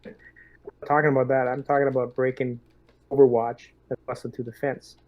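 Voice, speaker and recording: Mid-pitched man roleplaying an officer. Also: flat delivery, fairly quick, narrow, tinny audio. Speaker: player in a tabletop roleplaying game. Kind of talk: casual in-character roleplay as a military lieutenant.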